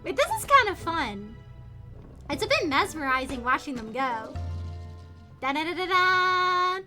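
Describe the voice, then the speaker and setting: Female game streamer, high and bright voice, chatty and expressive, thinking out loud over faint music. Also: unhurried. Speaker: video game livestreamer. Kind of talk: casual gaming stream chat.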